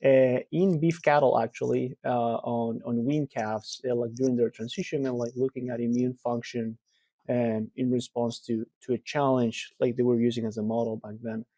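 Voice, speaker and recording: A man from Argentina in his thirties explains his research as an interview guest. His voice is mellow and warm, recorded dry and close with no room around it. He talks in a relaxed, explanatory way with plenty of fillers. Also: medium pitch, normal speed, no echo, low-quality recording. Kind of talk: conversational interview answers.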